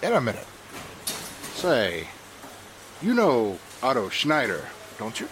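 Animated, prying workingman over background noise actor. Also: mid-range voice, slow pace. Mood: insinuating. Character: suspicious, prying 1940s factory worker. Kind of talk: 1940s radio drama acting.

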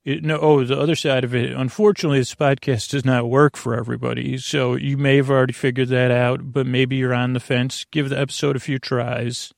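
A man with a creaky voice hosting a bedtime podcast in a meandering, halting, earnest monologue that keeps interrupting itself. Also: medium pitch, level tone, no room sound, clean studio sound. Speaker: podcast host.

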